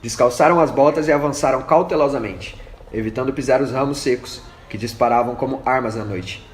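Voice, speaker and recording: A man's voice: medium pitch, brisk. A man reading scripted narration for an amateur radio drama. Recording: echoey room with faint noise.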